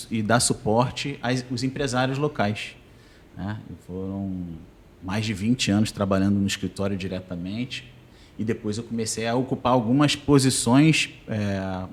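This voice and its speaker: A man in his forties with a Rio de Janeiro accent, a podcast guest telling his story in a calm, conversational way. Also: lowish voice. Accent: Rio de Janeiro Portuguese. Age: 40s. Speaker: guest, business association president.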